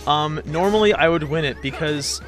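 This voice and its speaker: A man's voice: fairly high pitch, moderate pace, over music. A man giving casual, hesitant, rambling commentary as he hosts a video game playthrough. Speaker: let's play host.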